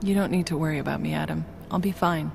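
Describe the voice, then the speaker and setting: An actress playing a wry, soft-spoken therapist in a video game, shaken but resilient. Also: female voice, low voice, quick pace, creaky, background noise. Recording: game cutscene with loud score.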